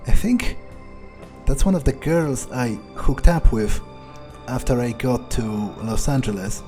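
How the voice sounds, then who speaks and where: A man's voice: medium pitch, dark tone, natural voice. A man voicing a jaded, wary male vampire in a game playthrough, wryly muttering his thoughts in character. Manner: unhurried, wry, muttering to himself. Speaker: gamer role-playing in character. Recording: faint game music behind.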